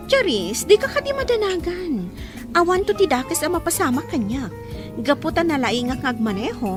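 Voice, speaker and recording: A self-pitying teenage schoolgirl from an audio drama, performed by a woman, sulking in a very high, thin voice. Music plays steadily beneath her lines. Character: troubled teenage schoolgirl drinking to forget.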